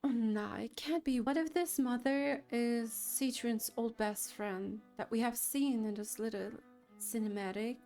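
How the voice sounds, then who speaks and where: Girl streamer type reacting to a game, talks slow, kinda high voice, quiet music under. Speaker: gaming reaction video creator. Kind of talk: casual live reaction commentary on a game.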